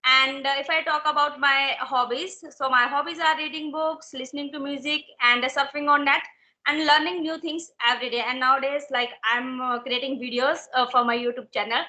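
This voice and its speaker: A woman's voice: high-pitched, fairly even intonation, fairly quick, pushed and bright. A woman from Himachal Pradesh, hosting a polite, hesitant conversation with many "uh" fillers. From Himachal Pradesh, India. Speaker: host of an English-learning channel. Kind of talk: interview conversation.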